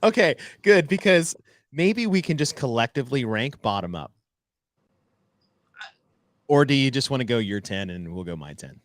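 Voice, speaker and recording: A man's voice: medium pitch, very animated, moderate pace, pauses often, no room sound, clean studio sound. A man co-hosting a casual podcast, teasing his partner and laughing often as he talks. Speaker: podcast co-host.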